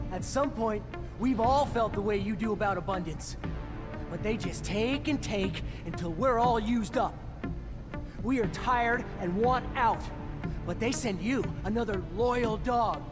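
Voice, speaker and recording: A war-weary deserter in a game drama. His voice is high and full of embittered, desperate intensity, ringing in a long reverb with music behind it. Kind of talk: video game dramatic acting.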